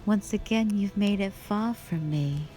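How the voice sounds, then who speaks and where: A woman playing a cruel, mockingly sweet spider-woman monster who taunts slowly and with menace in a fantasy audio roleplay. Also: mid-range voice, warm tone, soft background music, natural voice. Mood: taunting menace. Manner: slow, drawn-out, condescending taunting.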